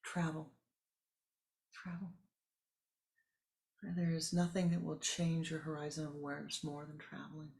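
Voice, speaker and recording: A man's voice: a little high, monotone, normal speed, gravelly, pauses often, a little mellow. A man giving a spiritual talk, musing aloud and repeating words for emphasis. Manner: emphatic repetition, musing asides. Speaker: spiritual teacher. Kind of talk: sermon.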